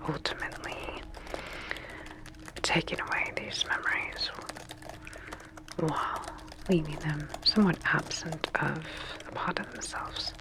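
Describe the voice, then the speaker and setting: Woman doing creepy calm lady sleep scientist, asmr horror roleplay, whispery and lowish, clinical and detached. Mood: clinical, quietly sinister. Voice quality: breathy whisper. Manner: slow, clinical, detached, measured. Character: calm sinister female sleep clinic scientist experimenting on patient.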